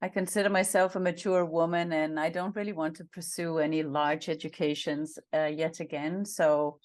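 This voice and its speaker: Low-voiced woman in her fifties. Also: steady tone, normal speed, darkish tone. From Denmark. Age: 50s. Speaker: guest, intimacy and relationship coach. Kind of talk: casual video call interview. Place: small home office indoors. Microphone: headset on a video call.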